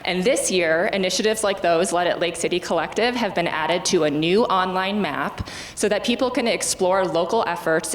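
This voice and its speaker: A woman who works on city food policy, giving a steady, scripted civic presentation. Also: fairly low pitch. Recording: roomy hall reverb, faint noise.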